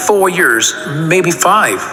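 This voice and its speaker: Man as a very bright, ominous sea pony sidekick. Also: sits high, very animated, slow, full character voice. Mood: ominous agreement. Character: male sea pony sidekick, parroting and gloomy. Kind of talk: cartoon comic dub acting. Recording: roomy reverb, music behind. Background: music.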